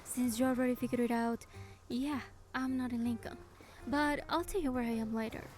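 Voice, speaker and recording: Actress as a mellow young game heroine. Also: female voice, on the high side, steady tone, normal speed, slight background noise, natural voice. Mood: playful, evasive. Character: gentle young woman heroine narrating her secret surprise. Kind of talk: scripted game narration and dialogue.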